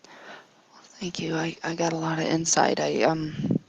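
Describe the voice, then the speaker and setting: A woman's voice: low-pitched, level tone, creaky, dull audio, noisy background. A woman playing a nervous, apologetic character in a tabletop roleplay, speaking hesitantly. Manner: hesitant, halting questions. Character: nervous, apologetic young woman newly enchanted to see Fae.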